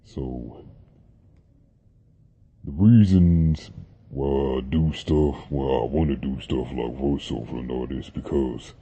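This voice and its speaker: Casting: young man with an extremely deep, husky voice, laid-back vlog chat, dull lo-fi sound. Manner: relaxed, rambling, with fillers and slang. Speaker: vlogger. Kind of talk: casual talk to camera about deep voices.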